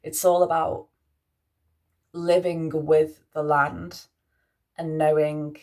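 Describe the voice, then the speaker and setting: A young woman with a Mancunian accent, a priestess talking softly through her spiritual values with frequent restarts. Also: sits low, flat delivery, slow. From Manchester, England. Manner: musing, soft-spoken, with frequent restarts. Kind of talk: spoken reflection on spiritual values.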